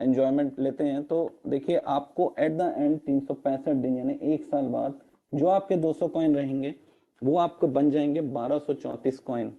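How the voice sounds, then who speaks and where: A man with a hoarse throat presenting in an online meeting, explaining step by step and slipping English terms into his talk, with fillers. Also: mid-range voice, dull audio. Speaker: network marketing presenter. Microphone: video call.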